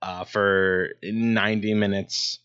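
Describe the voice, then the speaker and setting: A man's voice: lowish voice, flat delivery, takes it slowly, fairly bright tone, smooth. A man hosting his own sports talk show, rambling casually with many ums and restarts. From Ohio, USA. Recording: dull lo-fi sound.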